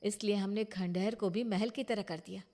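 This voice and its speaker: A woman's voice: medium pitch, fairly even intonation, moderate pace, mellow, lightly acted. A woman voicing a wronged, defiant young wife and mother in a cartoon moral story, indignant and emphatic. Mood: proud, defiant.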